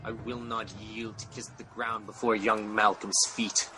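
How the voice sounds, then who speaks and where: A man's voice: lowish voice, little pitch movement, close to an everyday voice. An actor playing a doomed, haunted tyrant king in a Shakespearean radio drama, declaiming proudly and defiantly. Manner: slow, declamatory verse delivery. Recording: loud dramatic music behind the voice.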